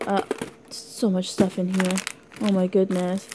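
A young woman from Canada chatting casually through an unboxing video, rambling and giggly, her voice hoarse from being sick. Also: mid-range voice, completely flat delivery, a little slow, noisy background. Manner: rambling, giggly, lots of likes and ums. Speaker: vlogger.

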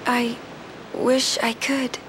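A woman playing a gentle, dutiful, wistful young summoner in a video game cutscene, speaking softly, hesitantly and earnestly. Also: very slow. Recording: game audio over steady surf-like rumble.

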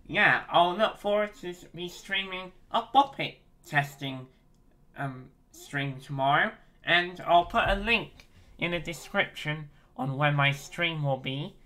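A young man in his twenties giving an excitable, casual video update from his bedroom on a webcam. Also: fairly high pitch. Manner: excitable, chatty, frequent giggles. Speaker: game developer and streamer. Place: small bedroom, indoors. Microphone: webcam at desk.

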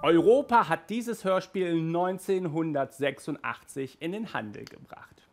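Lively man reviewing audio dramas. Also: on the high side, a little slow, loud music behind the voice. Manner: lively, gestures a lot, stumbles and restarts. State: hot and flustered by the heat. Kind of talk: casual video review. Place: small indoor room with toy shelves.

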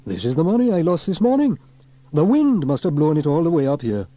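A man's voice: on the high side, big pitch swings, brisk, warm tone, no room sound, voice pushed up. A man voicing a brave, cheerful firefighter hero in a children's storybook, upbeat and reassuring. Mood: delighted.

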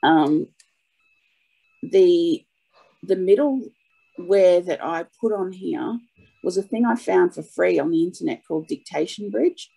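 Woman, webinar participant, husky and dark-toned, casual first-hand account. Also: fairly low pitch, slow, pauses often, low-quality recording. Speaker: webinar participant, audio typist. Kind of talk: casual spoken account of own experience.

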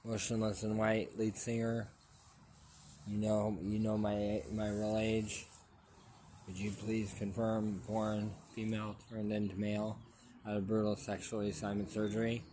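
A man talking into a phone at arm's length outdoors under a tree, rambling and repeating himself with halting restarts. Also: fairly low pitch, completely flat delivery, mellow, slight background noise. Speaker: vlogger. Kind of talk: rambling selfie plea to camera. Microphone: phone held at arm's length.

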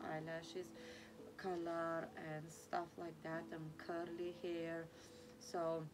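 A young woman from Lithuania with a Lithuanian accent, vlogging to camera in halting non-native English full of fillers, sitting in a small room. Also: lowish voice, poor audio. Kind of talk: casual talk to camera. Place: small room indoors, sitting against a plain wall.

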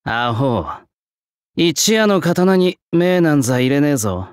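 Casting: proud old swordsmith spirit in youthful body, anime game battle lines; brusque, slow, very animated pitch, dry sound. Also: male voice, no room sound. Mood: gruff, nonchalant. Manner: rough, old-fashioned, terse craftsman's way of talking.

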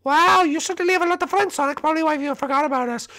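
A man voicing a small, sweet, excitable young fox with a squeaky, naive manner in an amateur comedy skit. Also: very fast. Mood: awed. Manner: squeaky, naive, wide-eyed.

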